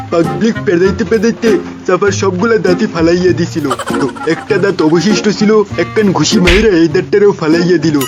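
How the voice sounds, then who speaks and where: A man voicing a loud, foul-mouthed, toothless cartoon thief boss in Bangladeshi colloquial speech, ranting and repeating himself. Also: high voice, close to an everyday voice. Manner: ranting, repeats phrases, coarse slang. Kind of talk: comic cartoon dub.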